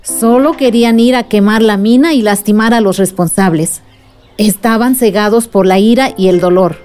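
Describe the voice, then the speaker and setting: An actress in a radio drama playing an earnest, patient adult who explains village struggles to a child. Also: female voice, medium pitch, moderate pace, darkish tone, clear, resonant tone, faint music behind, lightly acted. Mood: patient storytelling.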